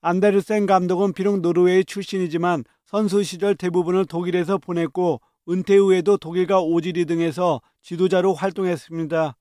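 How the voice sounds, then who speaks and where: Reporter guy reading the news, high smooth voice, dry booth sound. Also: fairly even intonation, fairly quick, few pauses, darkish tone, no echo. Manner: crisp, formal news delivery.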